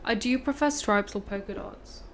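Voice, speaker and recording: A woman chatting as a podcast guest, with a playful, teasing manner and easy laughs. Her voice sits at an ordinary pitch. A light hum of background noise runs beneath her. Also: fairly quick. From Punjab, India. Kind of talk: casual chat.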